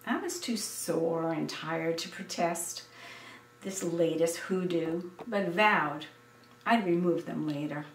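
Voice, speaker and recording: Older American woman, seventies, unhurried, expressive memoir narration, roomy echo, distant microphone. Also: on the low side, creaky, a little hiss or hum. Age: 70s. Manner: expressive, deliberate, dramatic storytelling. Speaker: essayist reading her memoir. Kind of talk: scripted personal narration.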